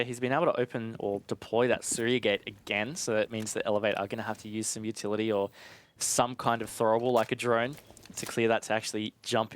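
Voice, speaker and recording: A man's voice: mid-range voice, slight background noise. A man giving analytical live esports commentary in a conversational way with casual asides.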